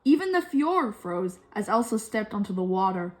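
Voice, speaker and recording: A woman telling a children's story from a book in an expressive way. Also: medium pitch, moderate pace. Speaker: storybook reader.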